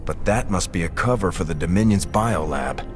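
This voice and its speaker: An actor playing a loyal, earnest second-in-command in a game cutscene, briefing in a measured, dutiful way. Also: male voice, low-pitched, lively intonation, music in the background. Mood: serious, dutiful. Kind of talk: video game cutscene acting.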